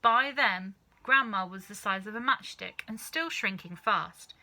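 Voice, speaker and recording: A woman reading a children's story aloud in an expressive, dramatic narrating style. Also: medium pitch, a little slow. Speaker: storybook reader.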